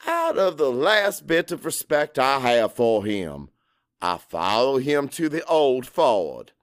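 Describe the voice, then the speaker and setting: A man reading dramatic first-person audiobook narration in a brooding, bitter, deliberate manner. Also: medium pitch, wildly swooping pitch, no echo, clean studio sound.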